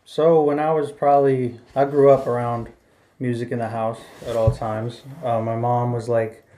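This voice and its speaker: A man's voice: medium pitch, flat delivery, normal speed, warm tone, a little hiss or hum, rough recording. A man from Bremerton, Washington, a rapper and producer guest, telling his story plainly and easygoing. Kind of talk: casual interview talk.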